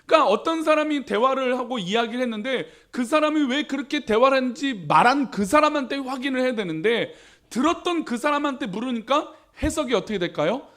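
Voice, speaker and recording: Man, pastor, sermon, high voice, animated pitch, chatty with the congregation. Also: fairly quick. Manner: conversational, asks the congregation questions.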